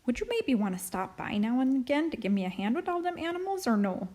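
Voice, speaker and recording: A woman narrator puts on an eccentric older neighbor lady for a children's audiobook. She is chatty, grateful and kindly, with folksy turns of phrase and a pitch that often lifts high. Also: sing-song, fast talker, dark tone. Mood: friendly, coaxing. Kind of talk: audiobook character voice.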